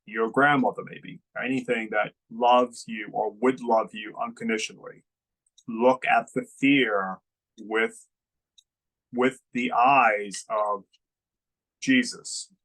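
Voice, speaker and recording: A man with a gravelly, creaky voice speaks slowly in an unscripted spiritual talk. He is reflective and keeps asking his listeners questions. His pitch sits in the middle range. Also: fairly bright tone, rough recording. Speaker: spiritual teacher.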